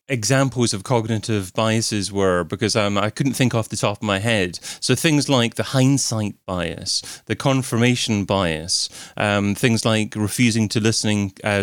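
Male podcast host from the UK, low-pitched, thinks aloud between questions, dry recording. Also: fairly bright tone, clean tone, no room sound. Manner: thinking aloud, with ums and restarts. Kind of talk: interview questions.

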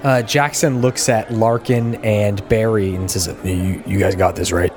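A man's voice: on the low side, fairly even intonation, unhurried, darkish tone. A man playing a tabletop game, casually improvising narration for his character. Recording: crowd noise behind the voice.

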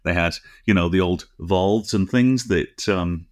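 A man's voice: low voice, unhurried, a little mellow, no echo, clean studio sound. A man co-hosting a podcast, explaining technical things conversationally in a nerdy, precise, digressive way. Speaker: podcast co-host.

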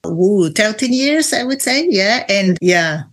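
A woman's voice: medium pitch, animated, takes it slowly. A woman from Israel with an Israeli accent, a Hebrew speaker talking casually as an interview guest over a video call, chatty, laughing often and using many fillers. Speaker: guest, NLP trainer.

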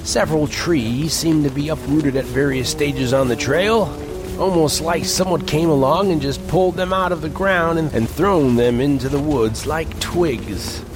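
A man playing an earnest reporter who narrates an eerie western expedition in a deliberate, descriptive way for an audio drama. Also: a little high, lightly acted. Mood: earnest, foreboding. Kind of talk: first-person scripted audio drama narration. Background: music.

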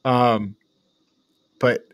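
A man's voice: medium pitch, steady tone, extremely slow, a bit bright, clean tone, no room sound. A man with a mid-range voice talks as a podcast co-host in a dry recording with very little room sound. He chats informally, reviewing a film with exasperated disbelief. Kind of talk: casual film review chat.

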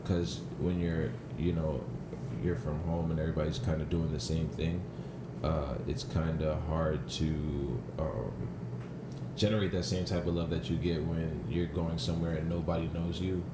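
A man's voice: rumbling low, flat delivery, normal speed. A man on a podcast talking casually and loosely, with many ums and likes. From New York. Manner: laid-back, filler-heavy talk with many ums. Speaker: rapper guest. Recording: roomy, noisy podcast mic.

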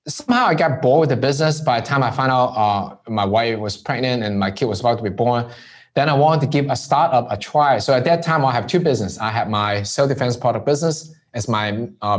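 A man's voice: mid-range voice, fairly quick. Hong Kong-raised man telling his story. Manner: relaxed storytelling with frequent "right?" tags. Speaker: entrepreneur guest. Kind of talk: conversational interview.

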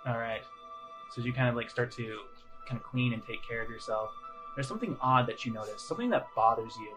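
A man acting as game master, describing scenes in a halting, conversational, improvised way. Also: medium pitch, low-quality recording. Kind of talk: improvised tabletop game narration. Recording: music playing under the voice. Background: background music.

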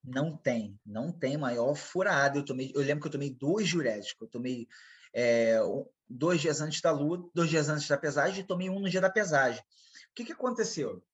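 A man's voice: on the high side, expressive, moderate pace, breathy edge, muffled recording. A Brazilian man, an MMA fighter, telling a long story in a casual interview, rambling and repeating himself as he quotes others. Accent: Brazilian Portuguese.

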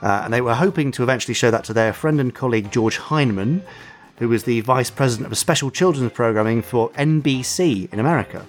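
A man's voice: medium pitch, fast, soft background music. A man hosting a podcast, telling trivia in a chatty, playful, bantering way. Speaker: podcast host. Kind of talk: chatty trivia segment, semi-scripted storytelling.

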